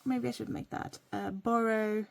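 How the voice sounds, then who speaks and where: A woman from Norway, whose first language is Norwegian, chatting casually in a playful, rambling way that sometimes drops to a soft whisper while she writes in her journal. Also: a little high, fairly even intonation, moderate pace, creaky, no room sound. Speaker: vlogger.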